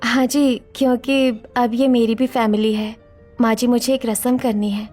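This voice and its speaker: A woman voicing an anxious, dutiful young bride in an earnest, expressive family drama story. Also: on the high side, moderate pace, soft background music, close to an everyday voice.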